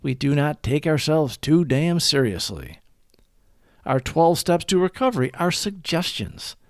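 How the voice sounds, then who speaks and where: A man with a fairly high, very animated voice reads reflective material as a host. His phrasing is deliberate and emphatic, and the recording is dry and close. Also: no echo. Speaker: host of a daily recovery reading. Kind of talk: scripted reflective read-along narration.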